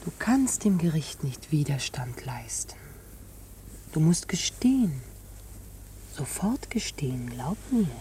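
Radio play casting: coaxing, bossy young temptress, unhurried, very animated intonation, whispery, slightly hissy recording. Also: female voice, deep voice, full character voice. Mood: coaxing, intimate. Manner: slow coaxing whispers, sharp commands. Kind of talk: German radio play acting.

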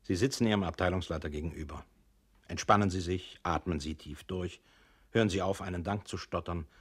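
An actor in a radio play voicing a male instructor who earnestly coaches a downtrodden employee in a didactic, deliberate manner. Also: low-pitched, husky. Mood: earnest exhortation. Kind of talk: scripted radio play narration.

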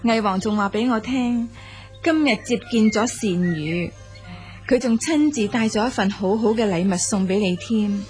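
A woman's voice: mid-range voice, normal speed, darkish tone, faint music behind, close to an everyday voice. A woman acting in a Cantonese audio drama as a gracious empress of ancient China, stately and reassuring. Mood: consoling. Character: gracious ancient Chinese empress, praising and consoling.

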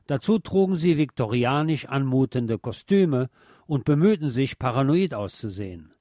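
A man's voice: mid-range voice, expressive, moderate pace, mellow, no room sound, studio quality. A man presenting a scripted music-history narration in literate, formal wording with a dryly ironic manner. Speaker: host.

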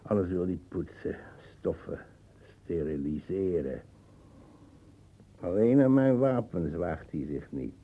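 A brooding old colonial uncle in a radio drama. His voice is low and very dark, with heavy gravel and a husky grain. He sounds suspicious and cantankerous. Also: lively intonation, slow pace, long pauses, full character voice. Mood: boastful.

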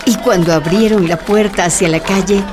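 A woman giving measured scripted narration for a radio drama. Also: mid-range voice, over music.

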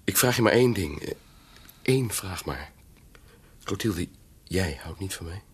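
A sincere suitor in a radio play, with a low and extremely husky voice. He speaks tenderly and breathily, halting and doubling back on his words. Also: animated, heavily acted. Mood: pleading. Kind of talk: Dutch radio drama acting.

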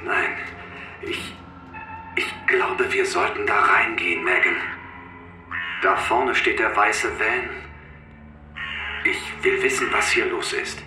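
An actor playing a worried but resolute father in a radio drama, halting yet insistent. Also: on the low side, distant mic. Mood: resolute, agitated. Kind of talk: German radio drama acting. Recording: roomy, off-mic, music behind.

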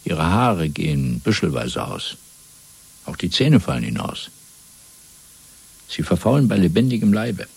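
A man playing a cold, fanatical Party interrogator in a radio drama, speaking in a measured, domineering, rhetorical way. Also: deep voice, very animated, gravelly, a little mellow, slightly rough, exaggerated voice. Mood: cold, cruel. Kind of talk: German radio drama acting.

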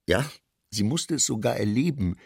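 A man reading literary prose for an audio drama in a measured, precise storytelling manner. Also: medium pitch, expressive, creaky, a little mellow, slightly rough, no echo, studio quality. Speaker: narrator.

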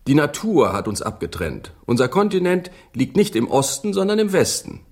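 Husky, proud English gentleman. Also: on the high side, very animated, normal speed. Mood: proud, declaiming. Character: proud, learned English gentleman, dryly opinionated. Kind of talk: literary radio drama acting.

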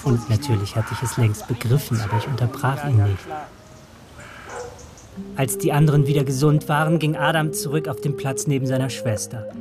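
An actor playing a grown narrator who recalls a village childhood in measured, reflective radio drama storytelling. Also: medium pitch, steady tone, crowd noise, close to an everyday voice.

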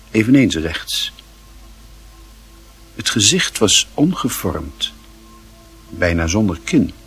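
A man narrating a literary radio play with measured, deliberate phrasing. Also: on the low side, slightly rough, heavily acted. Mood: grave, intent. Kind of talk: scripted narration.

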